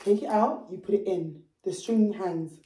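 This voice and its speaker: A young woman, vlogging in loud, laughing banter full of swearing. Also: mid-range voice, normal speed, echoey room, far from the mic, poor audio. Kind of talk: casual chat.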